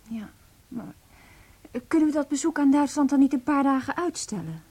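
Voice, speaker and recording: An actress playing the questioning, soft-hearted partner of an undercover spy in a Dutch radio drama, with a breathy, husky voice. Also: female voice, high-pitched, expressive, normal speed. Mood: doubtful. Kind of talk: Dutch radio drama acting, intimate dialogue.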